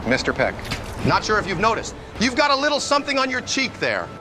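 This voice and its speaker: A man's voice: high voice, huge pitch swings, fast talker. A man playing a wry, wisecracking ghost hunter in a motion comic. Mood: wry, upbeat. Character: wisecracking, laid-back male ghost hunter. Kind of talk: animated motion comic acting. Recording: very loud music behind.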